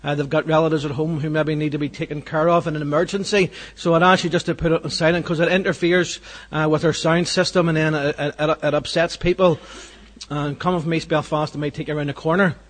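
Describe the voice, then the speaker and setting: A man's voice: a little high, flat delivery, quick pace. A man welcoming people to church and leading a prayer, earnest and hesitant with fillers. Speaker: church host. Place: church hall.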